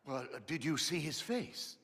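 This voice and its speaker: An actor playing a fussy, genteel older British academic, concerned and expressive, in a cinematic action-adventure game. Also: male voice, fairly high pitch, unhurried, vocal fry, full character voice. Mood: puzzled.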